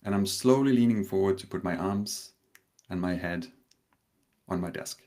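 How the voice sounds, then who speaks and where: A man from Denmark speaks in a slightly low voice, giving a live talk over a video call. He tells a personal story carefully, with pauses and a hint of nerves. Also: mellow. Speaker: conference speaker. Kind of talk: live online conference talk.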